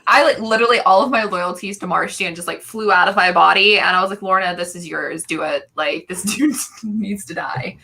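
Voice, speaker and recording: A woman chatting informally as a podcast guest, with an animated, storytelling way of talking about her reactions. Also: fairly low pitch, a little fast, gravelly, hardly any pauses. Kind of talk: casual chat, fan discussion.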